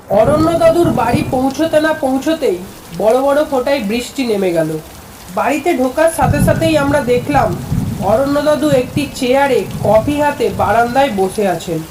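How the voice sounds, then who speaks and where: A woman's voice: sits high, background noise. A woman narrator reading a scripted story.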